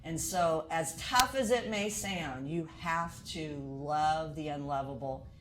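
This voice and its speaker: A woman's voice: sits low, slow pace, noisy background. A woman college instructor lecturing to teachers in an earnest way, asking many rhetorical questions.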